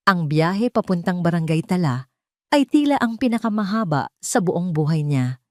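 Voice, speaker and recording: A woman reading a scripted story as narrator, with a measured, dramatic delivery. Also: on the low side, very animated, a little mellow, no echo, studio quality.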